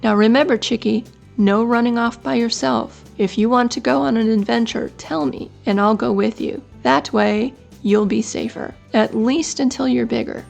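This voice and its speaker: A woman's voice: fairly high pitch, steady tone, normal speed, vocal fry, darkish tone, faint music behind. A woman doing a sweet, brave little piglet girl. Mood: gentle. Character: kind, brave young female pig.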